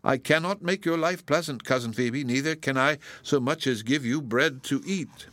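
A man reading aloud and voicing a gloomy, lonesome old woman who speaks in a mournful, sighing, halting way. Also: medium pitch, a bit bright, no room sound, voice pushed up, natural voice.

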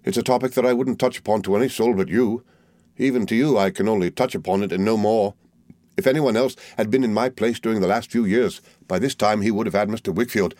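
A man's voice: lowish voice, quick pace, a little mellow, no echo, clean studio sound, lightly acted. A man reading aloud and voicing a fawning, falsely humble young male clerk with a wheedling, obsequious manner.

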